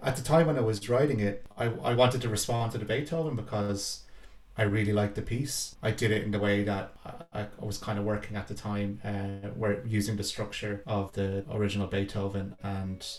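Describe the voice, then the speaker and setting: Low, even-voiced man in a roomy space. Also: normal speed. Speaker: composer. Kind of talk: reflective interview talk about own work. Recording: roomy echo, faint music behind.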